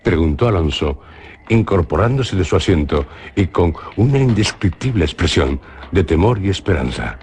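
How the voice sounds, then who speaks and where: Brief: solemn male narrator from Spain, extremely deep voice, radio drama narration. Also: very animated, a little mellow, a little hiss or hum. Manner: measured, solemn storytelling.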